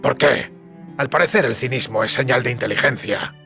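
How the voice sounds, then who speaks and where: Very gravelly, sardonic witcher. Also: medium pitch, fairly quick. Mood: wry. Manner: deadpan, laconic. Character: dry, sardonic male witcher, weathered monster hunter and lover. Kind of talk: audiobook drama acting. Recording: music behind the voice.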